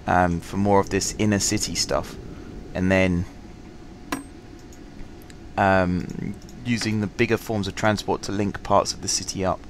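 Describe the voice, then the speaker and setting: A lower-pitched man with a sore, slightly husky throat talks through his game on a headset mic. He rambles along in a relaxed, apologetic way, full of ums. Faint game sounds and vehicle hum sit behind him. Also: unhurried. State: sore throat, fighting coughs. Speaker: gaming channel host. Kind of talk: casual let's-play commentary.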